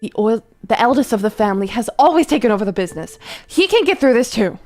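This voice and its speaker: A woman's voice: on the high side, expressive, quick pace, darkish tone, soft background music, natural voice. Stern, traditional father, acted by a woman. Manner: strict, scolding. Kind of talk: dramatic character acting.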